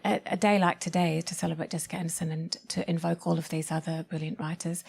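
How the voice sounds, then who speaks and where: A woman's voice: fairly low pitch, creaky, a little mellow, slightly rough. A woman speaking as a panellist in a literary discussion, thoughtful and articulate, making her points with emphasis.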